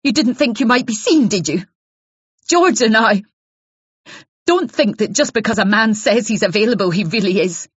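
A woman's voice: medium pitch, sing-song, moderate pace, few pauses, breathy edge, no room sound, muffled recording, pitched above the performer's own voice. A woman voicing a hostile, jealous wife who accuses and sneers down the phone. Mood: accusing.

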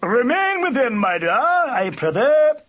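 Booming, gruff gentleman badger. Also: very animated, slow, full character voice. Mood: protective, stern. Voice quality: deep, cultured, grunting. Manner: archaic, stately speech. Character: gruff old-fashioned gentleman boar badger, cultured and commanding.

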